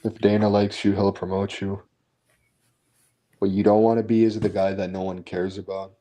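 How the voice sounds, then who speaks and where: A man's voice: lowish voice, flat delivery, moderate pace, dark tone. A man chatting casually on a live stream, blunt and opinionated, often restarting his sentences. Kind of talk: casual chat.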